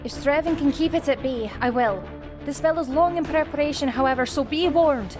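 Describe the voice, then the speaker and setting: A woman's voice: sits high, brisk, over music, lightly acted. A woman playing a cautious, earnest druid in a fantasy audio drama, speaking in formal words of warning. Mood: resolute. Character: cautious female druid, earnest forest guardian.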